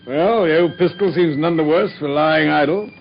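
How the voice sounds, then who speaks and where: An actor playing a loyal, earnest former army doctor in an old radio drama. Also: male voice, a little high, normal speed, slight background noise, close to an everyday voice. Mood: agreeable. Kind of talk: 1950s BBC radio drama acting. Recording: lo-fi old radio recording, heard from off-mic.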